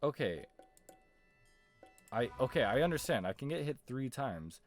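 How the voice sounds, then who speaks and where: A man doing casual let's play commentary, reading visual novel text aloud and thinking out loud. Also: mid-range voice, sing-song, a little slow, soft background music. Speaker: video game streamer.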